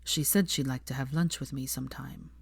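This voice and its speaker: A woman voicing an anxious young man, speaking low and breathy. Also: fairly even intonation, brisk, no room sound, clean studio sound, full character voice. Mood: troubled. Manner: low, subdued, breathy delivery.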